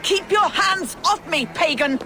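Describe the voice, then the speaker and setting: Haughty, high-voiced captive noblewoman. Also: unhurried, full character voice. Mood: outraged. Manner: imperious, sharp commands and scorn. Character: haughty, defiant captive Saxon noblewoman, scornful and indignant. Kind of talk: dramatic video game cutscene acting. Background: loud music.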